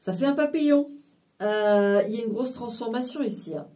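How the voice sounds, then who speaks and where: A woman giving a casual spiritual tarot reading to her viewers, halting and full of fillers and restarts, now and then slipping in English phrases. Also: fairly high pitch, dark tone, recorded from a distance. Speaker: tarot card reader.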